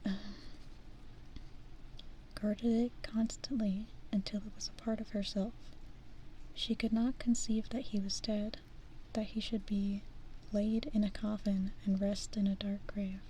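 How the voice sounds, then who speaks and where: A woman whispers softly into a close mic, reading slowly in a flat, even tone. Her voice stays hushed, with gentle self-deprecating asides between passages. A faint hiss sits under the recording. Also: medium pitch, low-quality recording. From American Midwest. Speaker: ASMR streamer.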